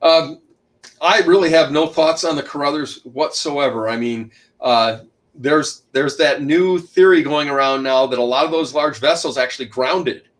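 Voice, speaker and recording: A middle-aged man, an author and shipwreck researcher, talking loudly as a guest in an online interview and packing in detail with many restarts. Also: normal speed. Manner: loud, booming voice, packs in lots of detail; rambling, frequent restarts and uh fillers.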